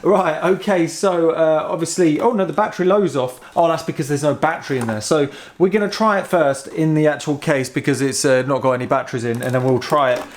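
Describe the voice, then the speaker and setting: A British man giving casual, excitable hands-on commentary as he tinkers with gadgets, thinking aloud informally. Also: on the high side, fairly quick, few pauses. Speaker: host of a vintage technology museum channel.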